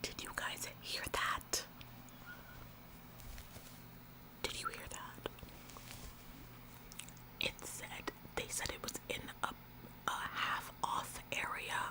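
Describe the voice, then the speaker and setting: A woman's voice: takes it slowly, pauses often, natural voice, low-quality recording. A woman in a whispered ASMR roleplay, playing a bossy, officious store employee who repeats phrases for emphasis. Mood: conspiratorial. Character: bossy, overzealous female retail store employee, falsely apologetic. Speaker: ASMR performer.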